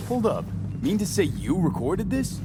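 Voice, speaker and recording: A man's voice: high-pitched, sing-song, normal speed, over music. An actor playing a streetwise, wary mercenary man in a video game, speaking in clipped, direct slang. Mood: wary, probing. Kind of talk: video game dialogue acting.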